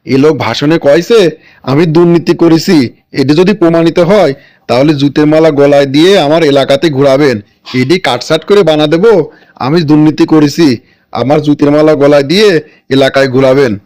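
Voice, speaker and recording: A cocky cartoon henchman, voiced by a man, talks in a high-pitched voice. He sounds eager and boastful, with a brash delivery and a Kushtia accent from Bangladesh. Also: brisk, a little mellow, lightly acted. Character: cocky, eager hired political smear-poster. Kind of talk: animated cartoon dialogue.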